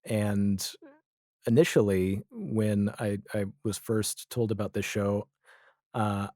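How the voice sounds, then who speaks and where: Man, forties, low pitch, soft dark tone, casual interview guest, wry, reflective, close dry sound. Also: slow, dry recording. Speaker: interview guest, comedy and audio drama writer.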